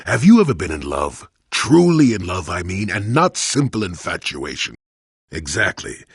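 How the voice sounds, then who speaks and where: A man playing a crotchety, wry old hermit in a video game, with a gravelly, husky voice and a sardonic storyteller's manner. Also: low voice, no echo, clean studio sound, exaggerated voice. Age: older. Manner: cantankerous, sardonic, rambling storyteller. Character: crotchety old male hermit, former Jedi, wry and weary. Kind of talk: video game character acting.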